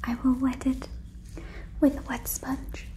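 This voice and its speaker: A woman playing a gentle, curious, naive female alien in an ASMR roleplay, in a breathy whisper with stilted, formal, careful phrasing, close on the microphone. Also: sits high, level tone, takes it slowly. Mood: tender, helpful. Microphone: close fluffy microphone.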